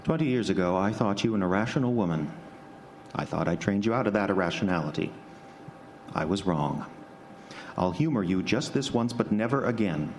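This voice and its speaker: Casting: male actor as selfish, short-tempered scientist husband, old radio horror melodrama, very animated delivery, noisy live recording. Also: on the low side, moderate pace. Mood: cold, scornful. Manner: impatient, scornful, blustering. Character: Charles, selfish, irritable scientist husband, arrogant and dismissive. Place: live stage before an audience.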